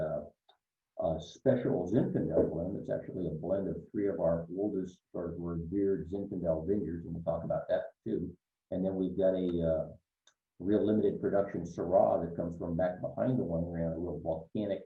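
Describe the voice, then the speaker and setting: Older man, winemaker, low voice, far from the mic, echoey, tinny phone-like sound. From Northern California. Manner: relaxed, explanatory, with many fillers. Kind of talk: explaining wines in a virtual tasting.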